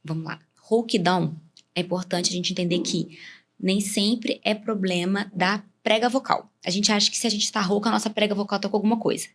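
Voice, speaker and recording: A woman's voice: mid-range voice, steady tone, brisk. A woman ear, nose and throat doctor with a Brazilian Portuguese accent, explaining health matters step by step with simple comparisons as a podcast guest. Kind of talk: explanatory interview talk.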